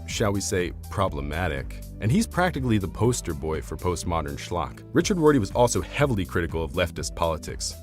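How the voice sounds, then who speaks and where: Deep-voiced man narrating a formal video essay. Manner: articulate, formal, academic. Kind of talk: scripted argumentative narration.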